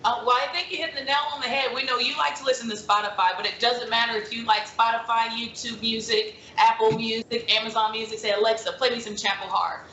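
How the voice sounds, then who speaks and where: Friendly woman with a bright voice. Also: a little high, steady tone, quick pace, few pauses, reverberant, highs cut off, slight background noise. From Mississippi. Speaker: country singer, guest. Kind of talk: casual interview.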